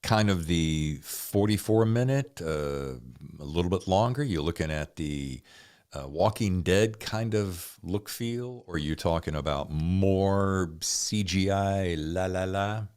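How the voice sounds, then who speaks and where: A man's voice: low voice, takes it slowly, darkish tone, clean tone. A man in his sixties hosting a podcast, chatting casually and informally as he interviews guests, with a jokey manner. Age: 60s. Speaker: podcast host.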